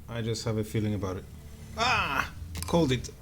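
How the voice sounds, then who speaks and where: A man's voice: medium pitch, sing-song, moderate pace, a bit bright, slight background noise. A man streaming live, joking with chat and talking through his moves as he plays. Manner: joking, thinking aloud while playing. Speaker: game streamer. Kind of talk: live gameplay commentary.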